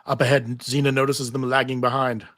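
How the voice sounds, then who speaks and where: A man's voice: mid-range voice, flat delivery, fairly quick, a bit bright, no echo, studio quality. A man reading stage directions as narrator in a live table read.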